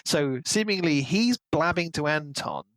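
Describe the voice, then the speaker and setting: A man's voice: fairly high pitch, measured pace, no echo. A man co-hosting a book podcast, talking casually and animatedly, often slipping into mock dialogue to make his point. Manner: animated, acts out imagined dialogue mockingly. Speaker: podcast co-host reviewing books.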